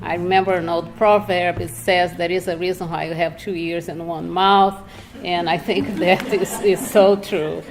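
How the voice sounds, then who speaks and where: A woman from Brazil, speaking in a measured, earnest way as a reflective panelist at an indoor public event. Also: on the low side, level tone. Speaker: panel speaker, state health agency worker. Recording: clearly noisy room ambience.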